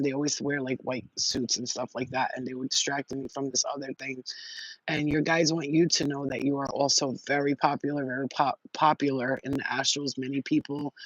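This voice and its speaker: Excitable, rambling man with a high voice. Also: dry recording, highs cut off. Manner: rambling, profane, excitable storytelling. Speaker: spiritual reader on a live stream. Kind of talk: casual live-stream chat and intuitive reading. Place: outdoors near trees.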